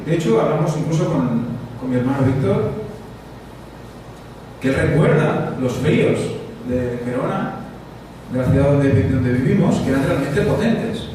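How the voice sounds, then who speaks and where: A man from Spain with a Spanish accent, giving a conference talk with frequent pauses and "eh" fillers. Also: medium pitch, animated, warm tone. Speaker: conference speaker. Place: conference hall. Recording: distant mic in echoey hall, noisy.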